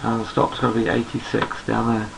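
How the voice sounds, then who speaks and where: A man with a gravelly, croaky voice walks through trading charts. He is losing his voice and sounds hoarse. He speaks at a relaxed pace, thinking aloud and repeating himself as he goes. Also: on the low side, moderate pace, slight background noise, low-quality recording. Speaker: trading analyst. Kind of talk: screen-recorded market chart commentary.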